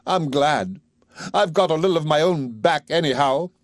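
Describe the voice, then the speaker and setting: A man voicing a defiant, sharp-tongued young woman. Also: a little high, expressive, moderate pace, no echo, studio quality, pitched above the performer's own voice, close to an everyday voice. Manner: defiant, taunting.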